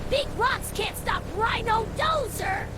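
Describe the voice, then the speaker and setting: A woman's voice: extremely high pitch, expressive, slow pace, full character voice. A woman voicing a cheerful young dinosaur rescue team leader in a children's cartoon, with an upbeat, encouraging manner. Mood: excited. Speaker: cartoon character voice. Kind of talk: children's animated adventure dialogue. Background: loud music.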